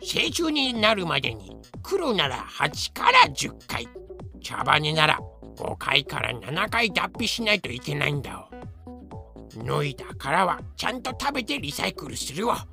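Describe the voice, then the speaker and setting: A man plays a cheerful, boastful cockroach in a comedic audio drama. The voice is bright and creaky, swinging up and down as it lectures with cutesy sentence endings, over music. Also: fairly high pitch, huge pitch swings, unhurried, exaggerated voice. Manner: cutesy verbal-tic sentence endings, explanatory. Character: boastful talking cockroach, male, cheerful know-it-all. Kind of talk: fan-made comedic voice drama, educational banter.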